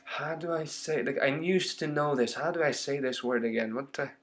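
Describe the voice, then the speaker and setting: A man's voice: medium pitch, a little fast, crowd noise. A man hosting a podcast interview, chatting casually and rambling with fillers. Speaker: podcast host.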